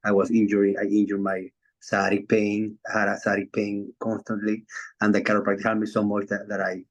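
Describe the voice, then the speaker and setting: Chiropractor from Venezuela, male; low even voice, hesitant with lots of fillers. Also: a little fast. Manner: hesitant, many fillers and restarts. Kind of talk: casual video call chat. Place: Florida.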